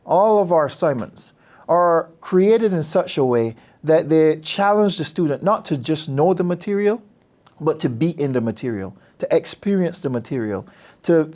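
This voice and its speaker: A man from Barbados, a business school dean speaking about his program in a measured, earnest, persuasive way. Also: a little high, lively intonation, a little mellow. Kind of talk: promotional interview talk.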